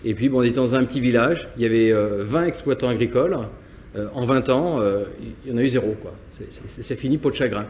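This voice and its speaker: A man's voice: mid-range voice, fast talker, a little mellow. Business owner guy from nantes, stumbles and repeats words a lot, faint background noise. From France, Nantes. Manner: hesitant, many restarts and repeated words. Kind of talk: interview answers, personal reflection.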